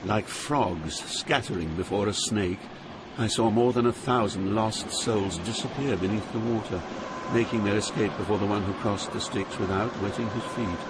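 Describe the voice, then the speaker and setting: Dante, low-voiced poet played by a man over loud ambience. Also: very noisy, lightly acted. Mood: awed. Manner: measured, literary storytelling. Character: Dante, awed poet recounting his journey through Hell. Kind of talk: scripted dramatic narration. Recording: loud ambient sound effects behind narration.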